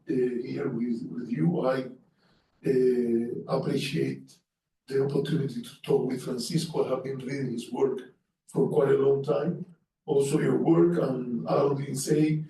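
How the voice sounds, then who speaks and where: A man's voice: medium pitch, gravelly, mellow, slight background noise. Cuban-accented man, echoey far-off lecture. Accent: Cuban Spanish. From Cuba. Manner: earnest, halting with restarts and fillers. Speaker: panelist. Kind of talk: academic seminar talk. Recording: echoey room, mic far off.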